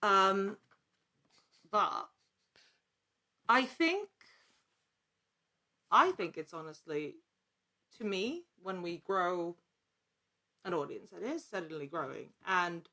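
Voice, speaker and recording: A woman's voice: lowish voice, lively intonation, takes it slowly, pauses often, sharp tone. A woman chatting casually as an interview guest, an actor talking in a conversational way. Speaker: podcast guest, actor and producer. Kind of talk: casual chat.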